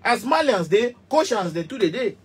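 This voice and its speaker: Fired-up Cameroonian man, husky voice. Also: high-pitched, sing-song, normal speed. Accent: Cameroonian Pidgin English. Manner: passionate, emphatic, repetitive, gesturing. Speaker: musician. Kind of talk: heated direct-to-camera rant. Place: small indoor music studio.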